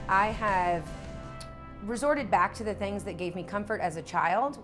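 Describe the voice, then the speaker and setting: A woman chef talking reflectively in a documentary interview, earnest and open, with frequent fillers. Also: medium pitch. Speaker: chef and motorcycle rider. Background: music.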